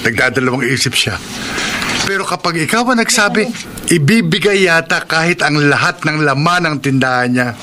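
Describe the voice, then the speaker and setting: A man's voice: on the high side, lively intonation, normal speed, noisy background, lightly acted. An actor playing a worldly security guard in a radio drama, teasing and goading his buddy. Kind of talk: radio drama acting in Tagalog.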